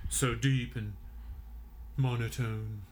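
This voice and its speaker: A man hosting a podcast, telling a story in a relaxed way with many fillers, his voice a bit deeper than usual. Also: very slow, a crowd in the background. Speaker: podcast host. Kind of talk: casual storytelling chat.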